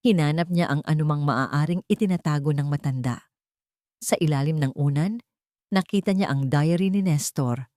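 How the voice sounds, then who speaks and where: A woman's voice: low voice, moderate pace, darkish tone, dry recording. A woman storyteller reading a scripted dramatic audio story as narrator.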